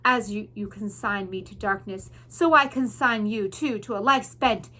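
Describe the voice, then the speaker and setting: A woman's voice: medium pitch, moderate pace, bright, forceful tone. A woman voicing a cruel, scheming male rat villain in a storybook, with a pompous, sneering, commanding manner. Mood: scornful, menacing.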